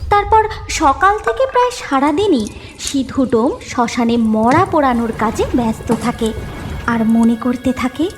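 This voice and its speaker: A woman's voice: high-pitched, lively intonation, a little slow. A woman narrator delivering scripted storytelling narration for a cartoon tale. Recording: music bed behind the voice.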